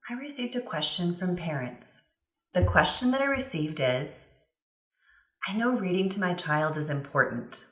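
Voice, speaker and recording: A woman giving an instructional voice-over interpretation, phrasing her explanations in a measured, clear way. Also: fairly low pitch, animated, fairly bright tone. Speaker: voice-over interpreter for a signing presenter. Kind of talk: instructional voice-over translating signed presentation. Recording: roomy, reverberant sound.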